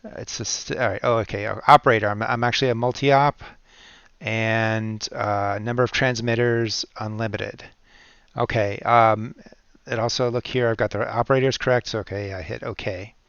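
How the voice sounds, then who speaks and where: An American man giving an informal step-by-step software tutorial, thinking aloud as he works through each step. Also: lowish voice, fairly even intonation, normal speed, gravelly, clean tone, dry recording, highs cut off. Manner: thinks aloud while working through steps. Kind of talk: step-by-step screen-recording tutorial narration, informal.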